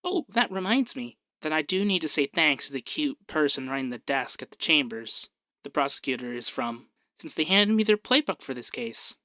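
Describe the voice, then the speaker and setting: Man playing a bright-voiced peppy young femboy barrister. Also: a little high, very animated, normal speed, dry recording. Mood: reassuring, businesslike.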